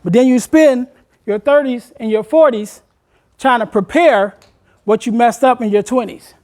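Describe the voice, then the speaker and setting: A man, a motivational speaker addressing a room of high school students, asking them questions and urging them to take part. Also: a little slow, a little mellow. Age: 40s. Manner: engaging the audience with questions, conversational and encouraging.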